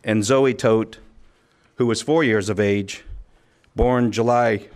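A man speaking as a sheriff at a press conference, delivering a formal statement in a measured, official, occasionally halting way. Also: on the low side, fairly even intonation, darkish tone. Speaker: county sheriff.

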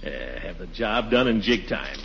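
An actor playing a pompous, excitable middle-aged householder who mutters and exclaims theatrically in a 1940s radio comedy. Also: male voice, low-pitched, normal speed, vocal fry, lo-fi sound, full character voice. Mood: self-absorbed muttering. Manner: muttering to himself, theatrical exclamations, chuckling bluster. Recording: noisy, band-limited 1940s radio recording.

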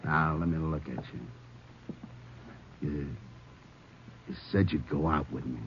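An actor playing a bitter, taunting, cornered gangster in a 1950s radio crime drama, moving between menacing murmurs and wild shouts. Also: male voice, very deep voice, steady tone, measured pace. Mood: menacing, pleading. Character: desperate cornered gangster, bitter, taunting, volatile older brother. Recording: muffled, noisy 1950s radio recording.

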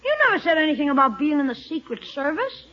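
Very high-pitched cheeky American schoolboy. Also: sing-song, quick pace. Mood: sceptical. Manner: cheeky, slangy wisecracking. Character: wisecracking young American schoolboy nephew, cheeky and eager. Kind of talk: 1950s radio comedy acting. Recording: muffled old 1950s radio recording.

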